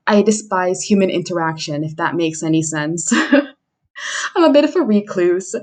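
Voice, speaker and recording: A woman's voice: fairly low pitch, lively intonation, measured pace, darkish tone. A young adult woman chatting casually and self-deprecatingly, as a livestream host introducing herself. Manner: self-deprecating, chuckles while talking. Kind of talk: casual chat monologue.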